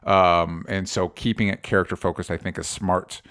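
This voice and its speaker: A man's voice: sits low, fairly even intonation, moderate pace, a bit bright, clean tone. Man chatting casually, often restarting his sentences. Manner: hesitant, self-correcting, circles back mid-sentence. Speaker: podcast co-host reviewing movie trailers. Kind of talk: casual movie chat.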